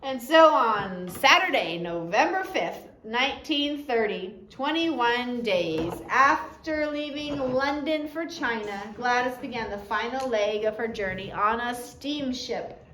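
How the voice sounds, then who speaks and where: A woman with a fairly high voice narrates a story from a book. Her reading is expressive and deliberate. The room adds a clear echo, and there is faint noise in the recording. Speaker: audiobook narrator, reader. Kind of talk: scripted storytelling read aloud from a biography.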